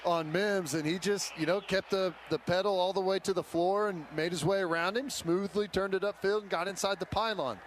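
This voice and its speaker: American man analyzing football, high voice, noisy stadium. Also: steady tone, brisk, hardly any pauses. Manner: explanatory, conversational asides. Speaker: color analyst. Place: stadium broadcast booth. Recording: clear stadium noise behind.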